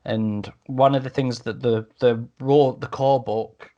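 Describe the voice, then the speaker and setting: A man's voice: mid-range voice, steady tone, a little slow, warm tone, dull audio. A man clarifying points in a casual podcast discussion, in an explanatory way. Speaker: podcast panellist.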